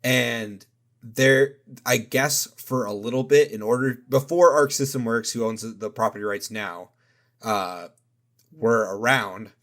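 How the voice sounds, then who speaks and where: A man's voice: mid-range voice, unhurried, a bit bright. A man co-hosting a podcast in a casual chat. He rambles and hedges, often stopping to correct himself mid-sentence. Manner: rambling, hesitant, self-correcting. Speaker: podcast co-host.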